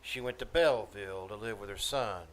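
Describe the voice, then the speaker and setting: An actor playing a mild, folksy older druggist in 1940s-style radio comedy, hesitant and deadpan. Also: male voice, on the low side, natural voice. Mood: meekly solemn. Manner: halting, deadpan, mild-mannered. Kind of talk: 1940s radio comedy acting before a live audience. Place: small-town theater stage. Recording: noisy live stage recording.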